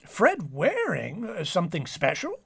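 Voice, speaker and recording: A man's voice: high-pitched, huge pitch swings, measured pace, gravelly, fairly bright tone, breathy edge, dry recording, exaggerated voice. A man playing a jovial, teasing doctor in an old-time radio comedy, wordy and wry. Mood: courteous. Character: jovial, teasing male doctor and family friend.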